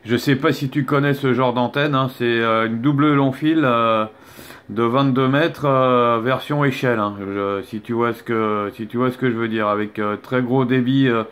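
A man talks casually as a radio operator at a middling pitch. He is hesitant, pausing to search for words and tagging his phrases as he goes. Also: a bit bright. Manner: hesitant, often ends phrases with "hein".

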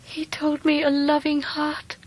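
Frail, high-voiced dying mother. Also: completely flat delivery. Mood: weak, loving. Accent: English. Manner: breathy, faint, slow pleading. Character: gentle, loving young mother, frail and dying. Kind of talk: radio drama acting.

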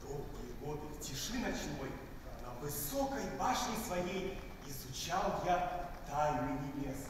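Heard from far off in a big reverberant theatre, a man performs a wise stargazer king in a Christmas pageant. His voice is high for a man and solemn, declaiming slowly and reverently. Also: expressive. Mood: awed, solemn. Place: on stage in a large theatre. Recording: distant mics in cavernous reverberant theatre, faint noise.

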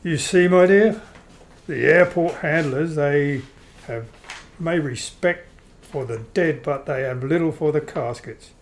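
Man as a courteous, creaky-voiced vampire count. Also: on the high side. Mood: matter-of-fact. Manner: measured, courteous, explanatory. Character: courteous, matter-of-fact vampire count and husband. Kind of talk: old-time radio play acting.

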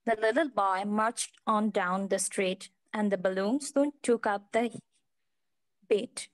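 A woman's voice: medium pitch, takes it slowly. A woman reading a children's story aloud as narrator over a video call.

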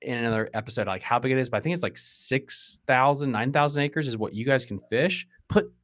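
A man's voice: medium pitch, fairly quick, a bit bright. A man in his thirties hosting a casual podcast, speaking bluntly and informally with emphasis, close on a podcast microphone. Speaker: podcast host. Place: indoor room at a desk, on a video call. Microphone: close boom-arm podcast microphone.

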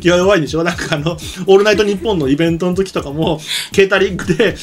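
A man's voice: sits high, racing. A middle-aged man chatting casually about anime as a talk show co-host. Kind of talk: casual chat commentary on anime. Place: small indoor streaming studio. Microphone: microphone on desk stand.

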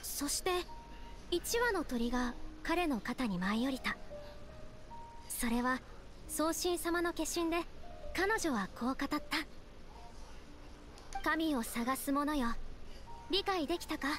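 A woman playing a gentle, devout young woman in a Japanese anime game, speaking earnestly and politely. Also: high-pitched, lively intonation, slow pace, pauses often, soft background music. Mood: solemn storytelling. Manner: earnest, polite feminine phrasing.

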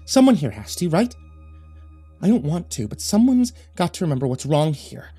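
A man acts out a distraught wife in a horror story, obsessive and desperate. The voice is raised high and swings widely, strained and frantic, hostile one moment and pleading the next. It is recorded dry. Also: big pitch swings, normal speed, warm tone, husky, dry recording, soft background music. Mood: angry, snarling. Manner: frantic, strained, emphatic repetition. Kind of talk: dramatic horror story character voice.

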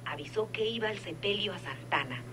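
An actress in a radio drama playing a dutiful head nurse informant, precise, businesslike and deferential, on a noisy old recording. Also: female voice, mid-range voice, animated, a little fast, natural voice. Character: dutiful female head nurse secretly informing a crime boss. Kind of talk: radionovela acting.

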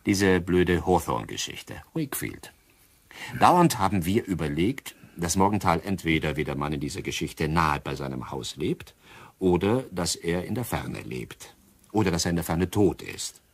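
Shrewd older doctor, low-pitched, husky, dry, deliberate, smug, radio crime drama. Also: male voice, big pitch swings, exaggerated voice. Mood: smug, explanatory. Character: shrewd older doctor, amateur sleuth, self-satisfied. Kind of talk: German radio crime play acting.

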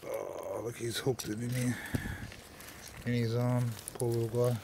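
A man's voice: medium pitch, steady tone, a little slow, gravelly, background noise. A young Australian man giving casual fishing tips on a harbour wharf at night, rambling and swearing now and then, filmed on a phone. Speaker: fishing vlogger. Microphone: phone camera held close.

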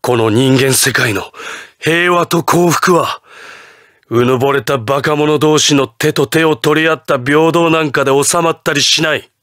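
An actor playing an imperious, ruthless patriotic president in a Japanese anime fighting game, with grandiose, theatrical declarations. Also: male voice, fairly high pitch, measured pace, a bit bright, dry recording, studio quality. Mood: cold, menacing.

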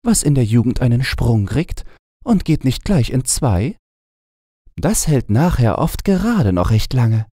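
A man's voice: medium pitch, big pitch swings, measured pace, mellow, dry recording, studio quality, voice pushed up. A man voicing a teasing, scolding elderly princess aunt in a mock-stern, mocking way. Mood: matter-of-fact.

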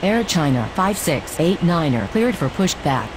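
A businesslike female air traffic voice played by a woman from a flight game. She speaks scripted radio calls in a fairly low pitch, with loud engine noise all around. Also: very animated, unhurried.

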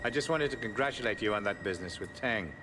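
A man's voice: lowish voice, expressive, noisy background, close to an everyday voice. A man playing a cool, formal police commander. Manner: formal, measured, clipped. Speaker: police superior. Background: game score music.

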